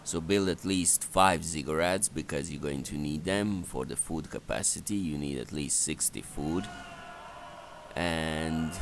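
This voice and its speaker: A man giving unscripted strategy game commentary in a halting, matter-of-fact, instructional way. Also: rumbling low, vocal fry, background noise. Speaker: game walkthrough narrator. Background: game ambience and effects.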